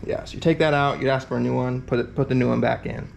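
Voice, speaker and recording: Gravelly young man explaining repairs casually. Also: mid-range voice, steady tone, fast talker, slight background noise. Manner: rambling, filler-heavy, thinking aloud while working. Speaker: competitor explaining a repair event. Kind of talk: casual hands-on tutorial.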